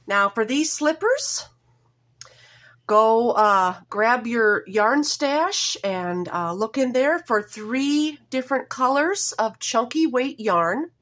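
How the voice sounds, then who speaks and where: Hoarse, homey woman teaching knitting. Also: on the high side, lively intonation, a bit bright. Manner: homey, apologetic, often pausing and correcting herself. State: slightly hoarse from a cold. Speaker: knitting tutorial host. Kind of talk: casual step-by-step craft tutorial.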